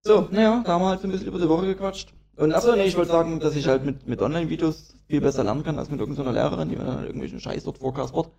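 A man's voice: fairly high pitch, rapid-fire, creaky, few pauses, warm tone. A young man co-hosting a casual podcast chat, swearing often and laughing a lot. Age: young adult. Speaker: podcast co-host.

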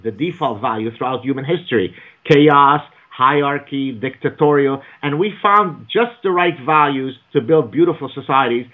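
A man speaking as an author and guest in an interview, emphatic and argumentative, lecturing at length. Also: medium pitch. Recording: phone-like narrow audio.

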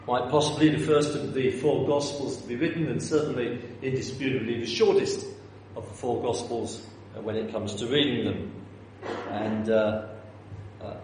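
Londoner preaching in an echoey church. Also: male voice, mid-range voice, darkish tone, slight background noise. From North London, England. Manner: conversational and self-deprecating, with rhetorical questions. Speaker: visiting preacher. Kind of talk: sermon. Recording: echoey church hall with long reverb.

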